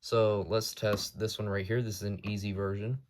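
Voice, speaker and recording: A man's voice: fairly low pitch, flat delivery, moderate pace, heavy background noise. A man presenting his hobby collection in a casual, unscripted show-and-tell, with a matter-of-fact, informal delivery.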